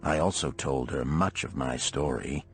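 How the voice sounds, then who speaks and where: A man's voice: extremely low pitch, unhurried, vocal fry, faint music behind. A man reading a scripted first-person story as an audiobook narrator, in a formal, dramatic manner.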